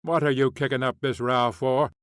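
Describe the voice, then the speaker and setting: Man voicing a stern jailer, gruff, scolding, loud. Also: mid-range voice, fairly even intonation, normal speed, no room sound, clean studio sound, lightly acted. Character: stern male prison jailer.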